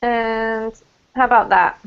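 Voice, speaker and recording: A woman English teacher leads an online class in a chatty, encouraging way, her voice sitting a little high. The sound is dull and lo-fi, like a video call. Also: fairly even intonation, slow, gravelly, smooth. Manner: chatty and encouraging, with frequent "um" and "okay?".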